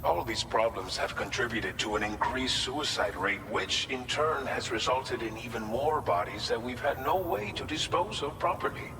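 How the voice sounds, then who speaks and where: An actor playing an earnest, polite settlement official in a video game, speaking a little hesitantly. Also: male voice, mid-range voice, lively intonation, moderate pace, few pauses, a crowd in the background, close to an everyday voice, low-quality recording. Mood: grave, explanatory. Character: earnest, grateful man running a post-apocalyptic settlement facility. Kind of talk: video game cutscene acting.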